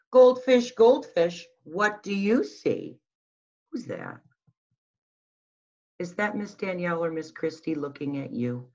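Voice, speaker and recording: A middle-aged woman reading a picture book aloud to young children, sing-song and full of questions, on a webcam microphone at home. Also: on the low side. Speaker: school behavior specialist reading to young children. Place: small room at home, indoors. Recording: dull lo-fi webcam sound.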